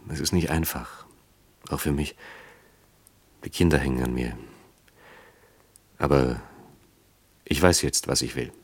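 Radio play casting: torn, reassuring man in love, bass-deep rough-edged voice, few words. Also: unhurried, long pauses, no room sound, full character voice. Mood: resolved, weary. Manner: terse, low-key, reassuring. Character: guarded male lover, torn but soothing. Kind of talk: German radio play acting.

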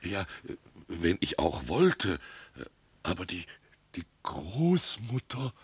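A man's voice: low voice, sing-song, slow, husky, heavily acted. An actor playing a flustered small-town mayor and father in a radio comedy, stammering, blustering and repeating himself. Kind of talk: theatrical radio comedy acting.

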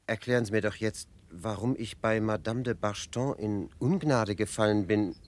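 An actor in a German radio drama playing an earnest, naive, insecure young provincial gentleman, hesitant and polite. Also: fairly low pitch, moderate pace, natural voice. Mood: bewildered, pleading.

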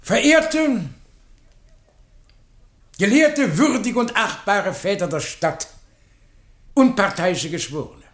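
A man's voice: high voice, expressive, takes it slowly, long pauses. A man playing a grandiloquent, pedantic scholar acting as defence counsel in a radio play, with florid, pompous oratory. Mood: pompous, ingratiating. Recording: roomy, echoing sound.

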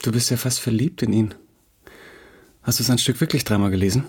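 An actor playing a touchy, self-regarding young playwright in a naturalistic radio play, dry and sardonic. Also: male voice, mid-range voice, brisk, darkish tone, husky. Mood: wry, wounded.

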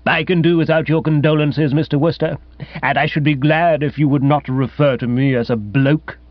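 A pompous old English gentleman, played by a male narrator in a comic audiobook. He is stiff, haughty and full of accusation. The sound is dry and somewhat dull. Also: fairly high pitch, fairly even intonation, moderate pace, no room sound, close to an everyday voice. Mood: irate. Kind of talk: comic audiobook character acting.

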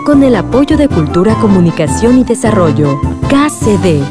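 Female announcer, mid-range, animated scripted credits read over prominent music. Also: mid-range voice, normal speed. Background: loud music.

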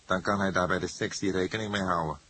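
A man's voice: deep voice, fairly even intonation, fast talker, bright, forceful tone, husky, voice pushed up, exaggerated voice. A man voicing a laconic, ceremonious old police coroner in a detective audiobook, dry and matter-of-fact.

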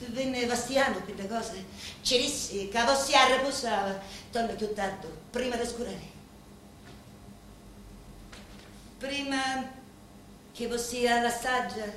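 An actress playing a deferential, devoted Sicilian woman who serves a local boss, speaking a reverent, deliberate stage monologue with a Sicilian accent. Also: high voice, fairly bright tone, exaggerated voice. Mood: deferential, confiding. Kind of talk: theatrical dramatic monologue in dialect. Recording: very echoey room, faint background noise.

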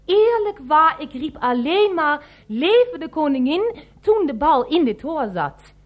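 Naive young lodger, German accent, high-pitched, unhurried, earnest, pleading, radio drama. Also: female voice, very animated. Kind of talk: radio play dialogue.

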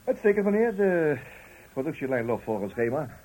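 An actor playing a nervous, deferential factory foreman in a radio play, raspy and eager to agree with his superior. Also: a little high, big pitch swings, normal speed, a little mellow, rough recording. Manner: deferential, hesitant, ingratiating. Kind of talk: Dutch radio play acting.

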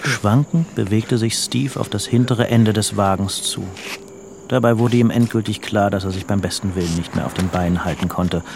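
A man narrating a crime story for a radio drama in a grave, detached, measured way. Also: lowish voice, gravelly, background noise.

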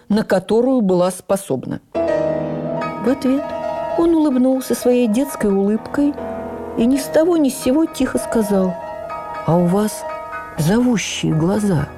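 A woman reads a literary memoir for radio as an actress would, with measured, expressive and deliberate phrasing. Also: fairly high pitch. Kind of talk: dramatic literary narration for radio.

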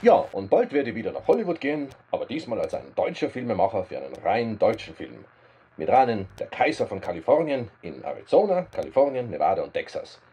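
Dark, husky, boastful filmmaker. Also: male voice, on the low side, huge pitch swings, fairly quick, heavily acted. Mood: boastful, declamatory. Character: boastful, determined male German filmmaker. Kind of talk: German radio play acting.

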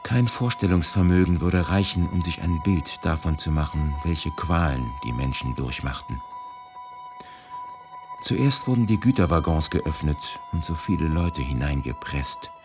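A man reading scripted documentary narration for radio in a grave, measured, deliberate manner. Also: extremely low pitch, expressive. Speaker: reader of a historical eyewitness testimony. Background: music.

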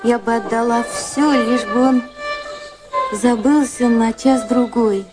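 An actress playing a cool, candid and wistful high-society woman in a radio play, composed and dryly sincere. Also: fairly even intonation, slow pace. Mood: wistful, confiding. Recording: music playing behind the voices.

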